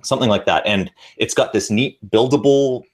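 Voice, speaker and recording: A man's voice: mid-range voice, normal speed. A man in his thirties with an Ontario Canadian accent, chatting casually as a guest on a video call, trailing off now and then. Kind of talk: casual chat. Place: home room with bookshelves. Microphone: headset on a video call.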